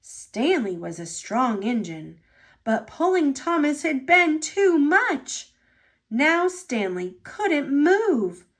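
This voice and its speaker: A high-voiced woman narrates a children's picture book. She takes her time over each phrase and swings her pitch expressively. The delivery is dramatic and shaped for small children. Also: big pitch swings. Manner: dramatic, deliberate phrasing for young listeners. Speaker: storybook reader.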